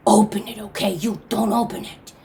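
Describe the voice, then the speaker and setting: A woman's voice: mid-range voice, steady tone, moderate pace, dark tone, a little hiss or hum, pitched below the performer's own voice, natural voice. A woman voicing an old, possessive but indulgent grandfather clan leader in a folktale, scolding with emphatic repeated refusals. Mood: weary indulgence.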